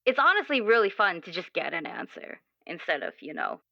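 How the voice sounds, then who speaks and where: A woman playing an earnest, questioning young character in improvised roleplay, speaking haltingly with many repeated words. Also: on the low side, wildly swooping pitch, vocal fry, no room sound, dull audio, exaggerated voice. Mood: nervous, earnest. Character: earnest, questioning twenty-year-old, restless with their assigned role. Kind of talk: improvised actual-play roleplay dialogue.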